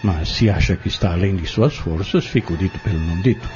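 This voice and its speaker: Man playing polite shrewd trader, dark low voice, formal, persuasive, composed, radio drama. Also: fast talker, close to an everyday voice. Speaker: actor in an audio drama. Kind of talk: dramatic radio-novela acting. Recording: muffled lo-fi audio drama recording. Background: prominent background music.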